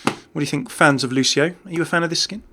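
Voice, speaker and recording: A man's voice: a little high, fast talker, bright, forceful tone, slight background noise. A man giving casual, off-the-cuff game commentary as a YouTuber, chatting and thinking aloud as he goes. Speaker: gaming YouTuber.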